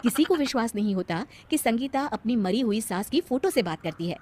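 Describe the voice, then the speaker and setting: An Indian-accented woman narrates a scripted cartoon story. Her voice sits fairly high and moves with lots of expression, in a storyteller's delivery. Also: lively intonation, brisk, darkish tone, a little hiss or hum.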